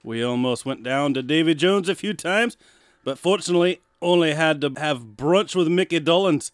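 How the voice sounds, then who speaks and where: A hearty old sea captain, acted by a man, pitched high and salty in his talk. He is a jolly, piratical figure from a comedy audio drama, recorded close and dry. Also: moderate pace, no echo, close to an everyday voice. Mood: boastful, blithe. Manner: hearty, salty nautical exclamations.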